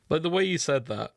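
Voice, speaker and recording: A man's voice: fairly high pitch, gravelly, no room sound. Joking man in a dry, close recording. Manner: joking, giggly, riffing on absurd ideas. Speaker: podcast co-host. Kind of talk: casual comedic chat.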